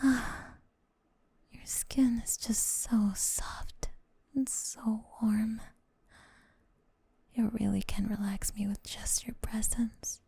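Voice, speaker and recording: A woman's voice: medium pitch, vocal fry, warm tone, husky, no room sound, exaggerated voice. A woman voicing a doting, possessive snake-girl girlfriend with sweet menace, in an intimate, coaxing ASMR roleplay that often drops to a whisper.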